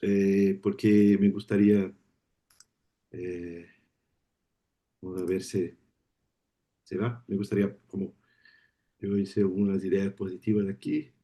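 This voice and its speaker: Brazilian man speaking halting Spanish. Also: sits low, flat delivery, dark tone. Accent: Brazilian Portuguese. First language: Portuguese. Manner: friendly, halting non-native Spanish with repeated words. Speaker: guest speaker. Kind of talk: informal talk opening a lecture. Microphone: video call.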